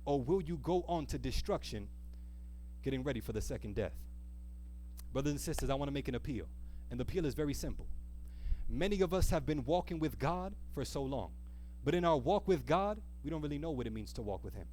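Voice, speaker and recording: A man preaching an earnest, exhorting sermon, pressing rhetorical questions and repeating key phrases with deliberate, slowed emphasis. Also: mid-range voice, expressive, dark tone, a little hiss or hum. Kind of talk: sermon with a closing appeal.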